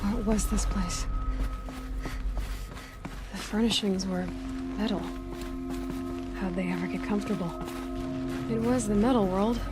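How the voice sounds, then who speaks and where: A resolute young huntress played by an actress speaks in a video game, terse and dryly wry. She sounds self-reliant and matter-of-fact. Loud music runs behind her voice. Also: mid-range voice, fairly even intonation, unhurried, pauses often, close to an everyday voice. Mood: wry, musing. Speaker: game protagonist. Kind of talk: video game dialogue and musing aloud.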